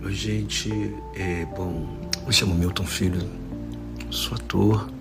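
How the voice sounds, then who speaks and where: A man in his forties from Rio de Janeiro with a Carioca accent, an actor speaking a halting, heartfelt recorded tribute with many restarts. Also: steady tone. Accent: Carioca Brazilian Portuguese. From Rio de Janeiro, Brazil. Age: 40s. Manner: halting, many restarts, emotional and rising in pitch. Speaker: actor sending a tribute message. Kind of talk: recorded audio message, personal remembrance. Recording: voice message with music underneath.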